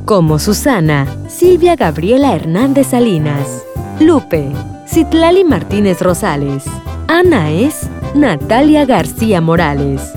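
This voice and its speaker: A woman announcer reads scripted credits. Her pitch moves in a lively way at a somewhat slow pace, over clear music.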